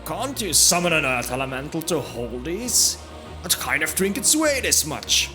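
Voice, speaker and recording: A man's voice: on the high side, very animated, moderate pace, voice pushed up. Male actor as jovial monk for fantasy audio drama; mischievous, hearty banter, music underneath. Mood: grumbling. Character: jovial teasing brother of a monastery, adult man.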